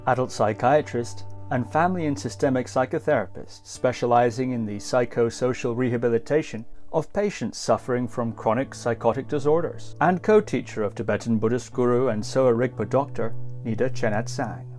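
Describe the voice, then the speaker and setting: A man's voice: mid-range voice, fairly quick, hardly any pauses, a little mellow, faint music behind. A man hosting a podcast, reading a formal introduction and then asking long, polite questions with some fillers and restarts. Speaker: podcast host. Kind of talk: podcast interview, scripted intro then chat.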